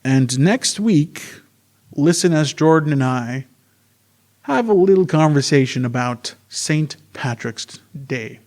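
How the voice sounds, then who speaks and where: A man's voice: fairly high pitch, animated, slow pace, a little mellow. A man working as a podcast host and narrator, talking in a plain, informal storytelling way. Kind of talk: slow narration and casual sign-off. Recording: faint music behind the voice.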